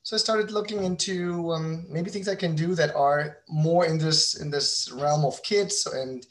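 A man from Berlin with a German accent, telling his story conversationally as a podcast guest, often halting and repeating words. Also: fairly high pitch, fairly even intonation.

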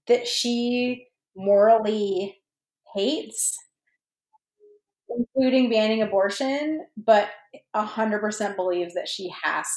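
A woman chatting informally about fan fiction, halting and restarting, with "like" scattered all through her sentences. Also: a little high, a little mellow. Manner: halting, informal, heavy use of "like". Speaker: podcast guest, fan fiction writer. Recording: roomy, echoey sound.

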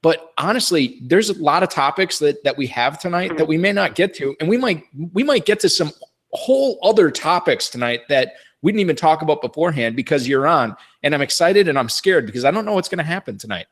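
A man's voice: fairly high pitch, lively intonation, fairly quick. A man hosting a fantasy football radio show, chatty and upbeat, reading sponsor plugs and contact details in a studio. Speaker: podcast host.

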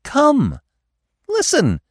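A man voicing an impatient, lovestruck young male deer in a storybook, pleading urgently in an excitable manner. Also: very high voice, drawn-out words, no room sound, studio quality, voice pushed up, full character voice.

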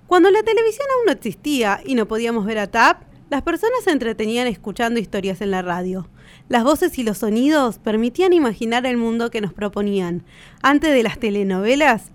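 A woman with an Argentine accent co-hosting a podcast in a casual, conversational way. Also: fairly high pitch. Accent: Argentine Spanish. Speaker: podcast co-host.

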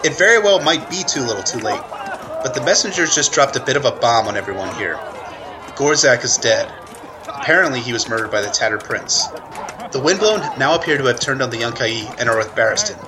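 A man's voice: medium pitch, lively intonation, brisk, close to an everyday voice. A man playing an urgent field correspondent reporting live from a battle in a radio news play. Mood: grave, urgent. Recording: loud battle crowd noise behind. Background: battlefield crowd effects.

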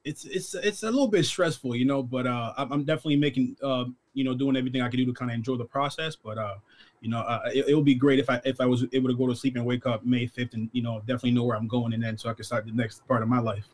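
A quick-talking man in his twenties, born in Congo and raised in Canada, answers interview questions as a young football player. His pitch is average and his delivery is casual and conversational. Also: fairly even intonation. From born in Congo, grew up in Canada from about eight. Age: 20s. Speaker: guest, football draft prospect.

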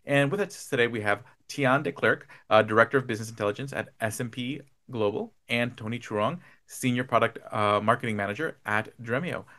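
A man's voice: mid-range voice, brisk, few pauses, a bit bright. Upbeat male podcast host opening a show. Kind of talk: show introduction.

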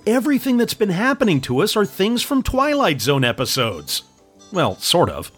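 A man's voice: sits high, normal speed, husky, heavily acted. A man playing a chatty, eager nerd who explains things in a comedic audio drama. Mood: explanatory. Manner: animated, explanatory. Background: music.

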